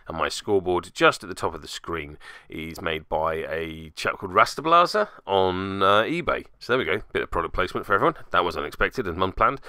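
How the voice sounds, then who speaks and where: A man's voice: on the low side, pushed and bright, clear, resonant tone, no echo, clean studio sound. A man hosting a tabletop game web series, giving chatty voice-over commentary with wry, cheeky asides. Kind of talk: casual scripted-feel commentary over game footage.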